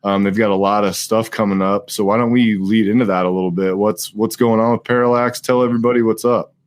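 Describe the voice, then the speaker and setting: A man's voice: lowish voice, fairly even intonation, fast. A man in his late thirties hosting a podcast, chatting casually, laughing often and swearing offhandedly. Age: late 30s. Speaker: podcast host. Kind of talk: casual chat. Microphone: live stream podcast mic.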